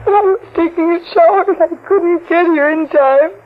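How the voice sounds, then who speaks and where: Sweet earnest young gal, high voice, anxious, tinny 1940s style radio drama. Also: female voice, moderate pace. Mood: distraught, pleading. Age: young adult. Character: sweet, kind young working-class woman, anxious and earnest. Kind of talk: old-time radio drama acting. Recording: tinny, hissy old radio recording.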